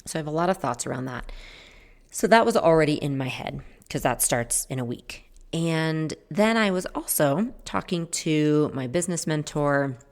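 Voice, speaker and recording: A woman's voice: low-pitched, animated, moderate pace. A woman in her forties hosting a podcast, talking casually on her own and rambling, correcting herself mid-sentence. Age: 40s. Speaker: podcast host. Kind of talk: casual solo podcast talk.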